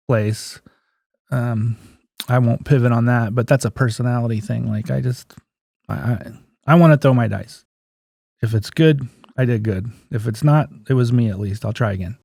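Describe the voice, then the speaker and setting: Male, 50s, interview guest. Mid-pitched, mellow delivery, anecdotal and plain-spoken, casual storyteller. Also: dry recording, studio quality. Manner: anecdotal, acts out remembered dialogue, folksy plain-spoken phrasing. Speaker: guest, physical therapist and clinic owner.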